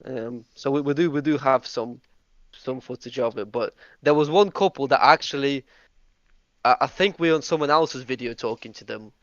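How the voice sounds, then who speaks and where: Brief: man from Russia, group host, quick stop-start talker, dry lo-fi call audio. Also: medium pitch, dry recording. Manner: eager, talks in bursts with many restarts and fillers. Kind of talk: casual group voice chat.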